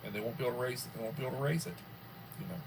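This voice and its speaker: A man's voice: medium pitch, racing, creaky, a little hiss or hum, rough recording. Rambling man in his sixties, chatty host. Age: 60s. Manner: rambling, with many fillers. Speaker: livestream host. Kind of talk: casual livestream chat. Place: home.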